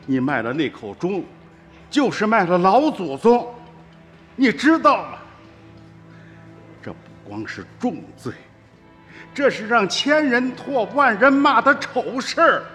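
A man's voice: high-pitched, huge pitch swings, slow pace, long pauses, a bit bright, full character voice. An actor playing a stern, wily older villager, an uncle and grandfather, in a radio drama with emphatic, exclamatory rustic speech. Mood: indignant, scolding. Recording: reverberant studio drama with music.

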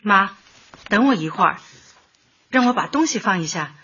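An actress playing a cheerful adult daughter in a Chinese radio drama, with a breathy voice. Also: female voice, medium pitch, animated, moderate pace, sharp tone, lo-fi sound.

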